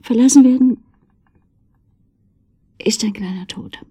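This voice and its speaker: Fragile pleading woman in a radio play, breathy half-whisper, dark soft tone. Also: a little high, slow. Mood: confiding, fragile. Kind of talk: German radio drama acting.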